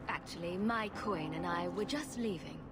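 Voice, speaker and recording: An actress playing a confident, sardonic adventurer heroine in a video game. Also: female voice, fairly low pitch, normal speed, close to an everyday voice. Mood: wry. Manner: wry, confident. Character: self-assured, wry adventurer woman, helpful but sardonic. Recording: noisy game capture with ambience.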